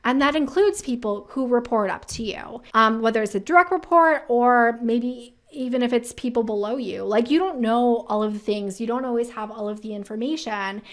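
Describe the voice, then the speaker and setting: A woman with a somewhat high-pitched voice hosts a career advice video. She speaks in a conversational, emphatic way. She often frames her commentary as rhetorical questions. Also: moderate pace, few pauses. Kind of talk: casual commentary reacting to viewer-submitted quotes.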